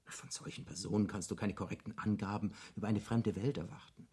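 An actor playing an eloquent devil in a radio drama, arguing in emphatic, elaborate rhetoric as a persuasive tempter. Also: male voice, on the low side, steady tone, a little fast, mellow, slightly rough. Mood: emphatic, indignant.

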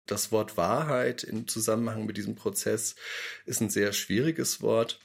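A man's voice: lowish voice, steady tone, unhurried, vocal fry, breathy edge. A man giving a thoughtful, explanatory interview statement as one of the makers of a radio play. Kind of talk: reflective interview talk.

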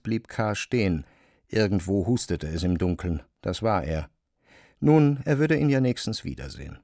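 A man's voice: lowish voice, vocal fry, darkish tone, dry recording, studio quality. A man narrating literary prose as the storyteller of an audio drama.

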